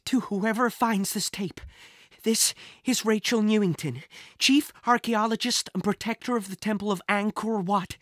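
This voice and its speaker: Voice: mid-range voice, moderate pace, fairly bright tone, no room sound, clean studio sound, pitched above the performer's own voice, natural voice. A storyteller voicing a frightened but defiant middle-aged woman archaeologist in an audiobook, urgent and dramatic. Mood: grave, urgent.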